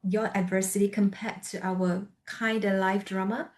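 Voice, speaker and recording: Gentle quiet lady hosting a podcast on a zoom call, soft voice, nervous little laughs. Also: medium pitch, flat delivery, unhurried. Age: adult with a young son. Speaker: podcast host. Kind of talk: soft-spoken interview over video call. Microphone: video call recording.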